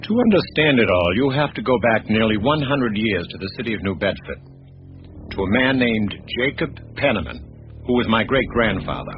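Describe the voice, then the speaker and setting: Actor as a low-voiced, courteous professor. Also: male voice, expressive, normal speed, faint music behind, close to an everyday voice. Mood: reserved. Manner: hesitant, courteous, reserved. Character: polite, uneasy male professor seeking help. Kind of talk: radio drama acting. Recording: dull, noisy radio drama recording.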